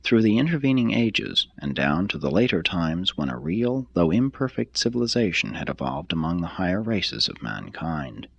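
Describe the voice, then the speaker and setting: A man reading a formal text aloud as scripted narration, in a measured, deliberate manner. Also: sits low, gravelly, breathy edge, dry recording. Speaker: audiobook reader.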